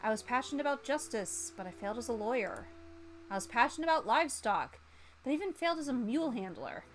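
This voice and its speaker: A woman voicing a proud, earnest gentleman chef with big dreams in a visual novel. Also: a little high, big pitch swings, fast talker, a little hiss or hum. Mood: wistful pride.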